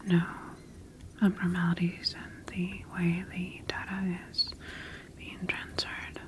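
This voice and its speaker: A woman voicing a methodical scientist in an ASMR sci-fi medical roleplay, speaking in a measured, formal and gently instructing way. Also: on the low side, completely flat delivery. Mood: clinical, calm. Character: clinical, methodical female scientist examining her bioengineered monster creation.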